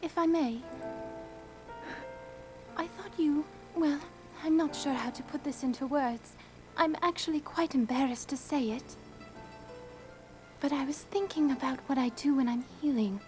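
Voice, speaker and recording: A woman playing a gentle, shy young healer in a video game cutscene, polite and hesitant in how she speaks. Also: sits high, measured pace, long pauses, soft background music. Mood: bashful, tender.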